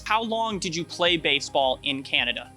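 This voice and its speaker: A man with a slightly high, bright and forceful voice hosts a sports interview, asking his questions in an upbeat way. He fills his sentences with "like". Soft music runs faintly underneath. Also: expressive, moderate pace. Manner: upbeat, leans on "like" as filler. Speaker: host, sports show interviewer. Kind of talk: hosting an interview.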